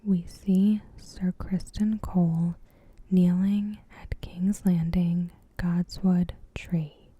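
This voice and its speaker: A woman doing ASMR in a hushed, breathy whisper, gently and unhurriedly reading a recap of a story. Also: lowish voice. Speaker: ASMR creator and host. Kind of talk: soft-spoken ASMR, reading a TV episode recap.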